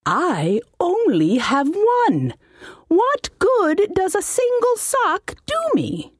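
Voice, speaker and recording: Woman playing a shrill, pompous old halfling wizard. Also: huge pitch swings, drawn-out words, no room sound, studio quality, heavily acted. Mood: outraged. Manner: indignant, bossy, exclamatory. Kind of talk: audiobook character voice for comic fantasy story.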